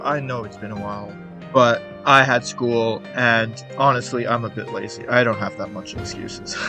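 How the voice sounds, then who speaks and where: A young man chatting in a casual vlog, rambling with joking, self-deprecating asides. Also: mid-range voice. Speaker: YouTuber.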